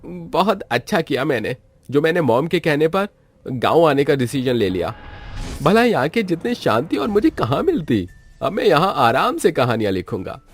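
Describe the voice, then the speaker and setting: A man's voice: on the high side, big pitch swings, moderate pace, slightly rough, faint music behind, voice pushed up, exaggerated voice. A man voicing a bold young writer in a moral story, earnest and declamatory. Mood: contented. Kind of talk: Hindi moral story dramatization.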